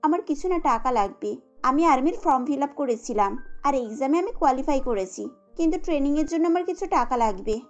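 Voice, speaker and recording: A woman's voice: high-pitched, animated, brisk, muffled recording, faint music behind, voice pushed up. A woman voicing an earnest, ambitious young student daughter in a cartoon. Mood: hopeful, pleading. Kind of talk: Bengali cartoon dubbing.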